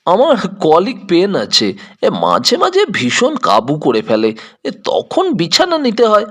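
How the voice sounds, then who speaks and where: A man's voice: sits high, huge pitch swings, takes it slowly, voice pushed up, full character voice. A man playing an evasive, haughty male suspect with a falsely genial, hesitant, stammering manner in a detective audio drama. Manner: hesitant, stammering with filler sounds.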